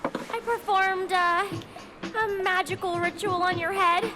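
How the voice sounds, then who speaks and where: A woman's voice: extremely high pitch, fairly even intonation, unhurried. A woman playing a sweet, earnest, girlish teenage cheerleader in a video game cutscene. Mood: sweetly defensive. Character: sweet, perky teenage cheerleader girlfriend with magic. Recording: loud game music behind.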